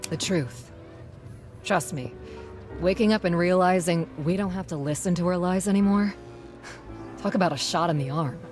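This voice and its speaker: A woman's voice: fairly low pitch, moderate pace, long pauses, darkish tone, faint music behind, lightly acted. An actress as a folksy, spirited young rebel woman. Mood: earnest, defiant. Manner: folksy, plain-spoken, earnest. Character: spirited young rebel schoolteacher turned frontline quartermaster. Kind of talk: video game character dialogue.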